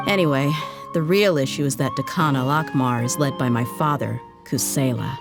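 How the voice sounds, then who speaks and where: A woman's voice: very deep voice, moderate pace, over music. A woman voicing a tough young female desert mercenary who talks in a blunt, wry, plain-spoken way, in game voice acting.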